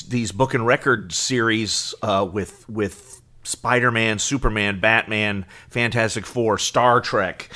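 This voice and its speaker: A middle-aged man co-hosting a podcast, explaining things casually as an enthusiastic fan, often in halting lists. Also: on the low side, bright, forceful tone. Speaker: podcast co-host.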